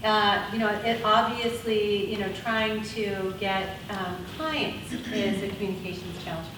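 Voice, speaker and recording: A woman leads a conference workshop, talking loudly and laughing as she takes questions. She stands without a mic, so her voice comes from across an echoey room. Steady room noise runs under it. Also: mid-range voice, unhurried, distant mic. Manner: loud voice. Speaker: conference presenter. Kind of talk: workshop presentation with audience questions. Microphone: speaking without a mic.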